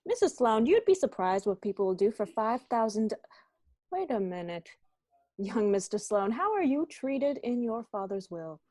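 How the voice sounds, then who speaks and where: A woman's voice: on the high side, sing-song, moderate pace, mellow, no echo. A woman playing a probing, sardonic police captain in an amateur radio-style mystery. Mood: wry, commanding. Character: brisk, wry police captain questioning suspects.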